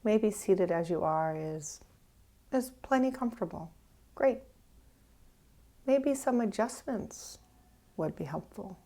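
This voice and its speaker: A woman with a soft-edged, dark and relaxed voice leads a guided meditation at a very slow pace. She is gentle and leaves pauses between phrases. Her words often trail away into a breathy near-whisper. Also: medium pitch. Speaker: meditation teacher. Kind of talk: guided meditation, unhurried and instructive.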